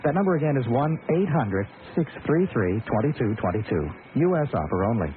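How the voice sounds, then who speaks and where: A man's voice: medium pitch, big pitch swings, brisk, slight background noise. An American man reading scripted radio commercials as an announcer. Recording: thin narrow-band radio, music behind. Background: music.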